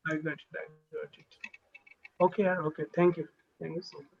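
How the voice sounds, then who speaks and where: A man who is a neurosurgery trainee, chatting on a video call and asking halting, filler-heavy questions. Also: on the high side, flat delivery, vocal fry, muffled recording, slight background noise. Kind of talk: casual video call chat.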